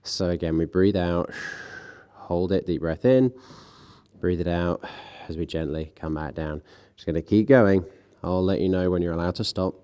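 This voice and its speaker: Low, mellow male fitness coach with a blocked nose; calm, steady stretch cues, a dry joke now and then. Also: normal speed, pauses often. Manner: calm coaching with light self-mocking asides. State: congested from a cold, a bit nasal. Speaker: fitness instructor. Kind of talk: guided stretching class.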